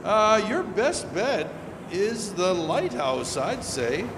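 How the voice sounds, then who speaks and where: A man's voice: high voice, sing-song, takes it slowly, exaggerated voice. A man playing a seasoned, practical lake steamer captain in old-time radio drama. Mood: practical, cautionary. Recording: echoey hall with background noise.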